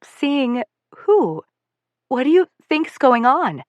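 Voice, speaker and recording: Grieving middle-aged mom, gentle and pleading, as a female audiobook reader puts her on. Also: sits high, very animated, slow, no room sound, voice pushed up, heavily acted. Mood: puzzled.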